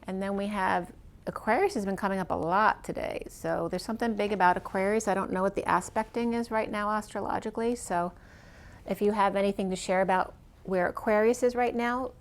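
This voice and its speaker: Female tarot reader, chatty and meandering, voice going hoarse from overuse. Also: medium pitch, steady tone, brisk. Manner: chatty, meandering, filler-heavy. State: losing her voice. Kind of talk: casual tarot reading monologue.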